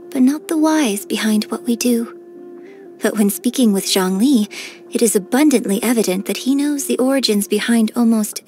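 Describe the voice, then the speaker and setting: A woman voicing a graceful, gentle and cheerful young female opera singer in a game, with polite, elegant diction. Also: fairly high pitch, close to an everyday voice. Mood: admiring. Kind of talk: scripted game character voice lines. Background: background music.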